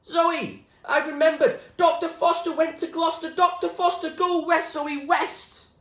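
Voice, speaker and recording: A man's voice: very high-pitched, little pitch movement, a little slow, fairly bright tone, echoey room, pitched above the performer's own voice. A man voicing a wild-eyed madman in a storybook, ranting feverish prophetic nonsense. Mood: frantic ranting.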